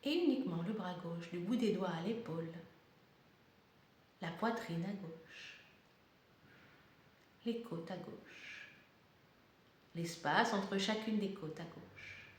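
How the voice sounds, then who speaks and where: Slow woman guiding meditation, very echoey room. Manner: calm, deliberate, short phrases with pauses. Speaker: yoga nidra guide. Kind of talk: guided meditation, slow soothing instruction. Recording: very echoey room, faint rumbling noise.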